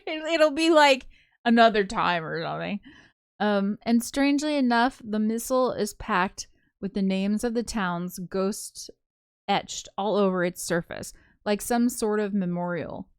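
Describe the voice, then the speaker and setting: A woman's voice: mid-range voice, unhurried, clear, resonant tone, dry recording, studio quality. A woman reading a visual novel aloud, slipping into casual, chatty commentary with giggly asides. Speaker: co-host of a gaming show.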